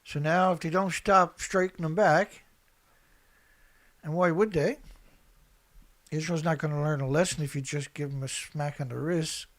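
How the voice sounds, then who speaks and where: Emphatic higher-voiced man giving blunt commentary. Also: steady tone, normal speed, long pauses, a bit bright. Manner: emphatic, repetitive, blunt, colloquial. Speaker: online commentator and activist host. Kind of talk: unscripted opinionated news commentary, reading headlines and reacting.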